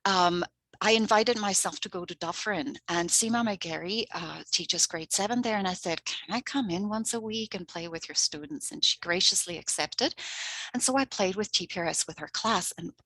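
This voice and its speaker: A woman's voice: mid-range voice, moderate pace, sharp tone, no room sound, poor audio. A middle-aged woman from Switzerland, a native Swiss German speaker, presenting a language-teaching workshop in an earnest, chatty way and laughing often. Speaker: language coordinator.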